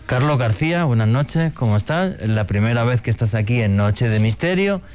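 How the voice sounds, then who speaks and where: A man's voice: medium pitch, normal speed, clean tone. A man hosting a casual radio chat, talking with enthusiasm and slang. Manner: enthusiastic, slangy, animated storytelling. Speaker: radio host.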